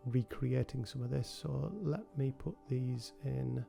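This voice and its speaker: A man with a cold hosting a relaxed software tutorial, talking through each step with frequent ums. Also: mid-range voice, measured pace, creaky, faint music behind. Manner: relaxed, thinking aloud with many ums. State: has a cold. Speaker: game developer tutorial host. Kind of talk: screen-recorded software tutorial.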